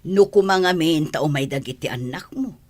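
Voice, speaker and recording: A woman's voice: low-pitched, animated, normal speed, a little mellow. A woman playing a practical, middle-aged family woman who scolds and gives orders. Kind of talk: radio drama acting.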